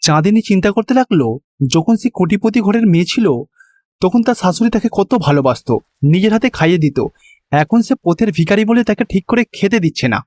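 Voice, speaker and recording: A man with a Bengali accent narrating a scripted cartoon story in an expressive storytelling manner. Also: sits high, brisk.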